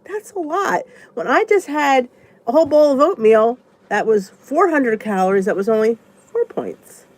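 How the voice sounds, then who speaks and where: Congested woman with a lively, animated voice. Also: high voice, normal speed. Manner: chatty and rambling, thinks aloud. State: congested, stuffy nose. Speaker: vlogger. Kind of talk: casual live chat answering viewer comments.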